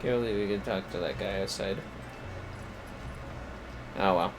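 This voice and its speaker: A man's voice: low voice, a little slow, background noise. A man giving casual, slangy commentary to himself while livestreaming a video game. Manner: informal, slangy. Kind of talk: casual gaming commentary, muttering to self.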